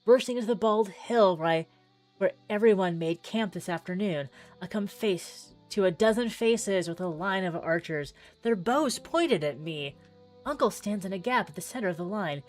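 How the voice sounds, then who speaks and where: A woman giving a dramatic, deliberate read-aloud of narrative game text, pausing for effect. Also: lowish voice. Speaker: streamer playing a visual novel demo. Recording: music playing under the voice.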